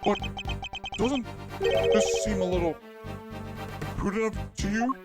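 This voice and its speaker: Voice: mid-range voice, slow pace, over loud music, pitched above the performer's own voice. A streamer voicing a timid, fretful male president in a fan dub of a story game, hesitant and pleading. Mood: anxious. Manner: hesitant, pleading, slightly distant.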